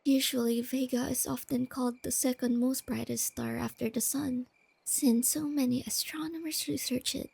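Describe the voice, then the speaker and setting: Woman acting breathy, high-voiced shy nerdy girlfriend. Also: fairly even intonation, dry recording, heavily acted. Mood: gentle, informative. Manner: soft-spoken, gentle, breathy, unhurried explaining. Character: shy nerdy young girlfriend, sweet, earnest stargazing enthusiast. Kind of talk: soft-spoken ASMR roleplay.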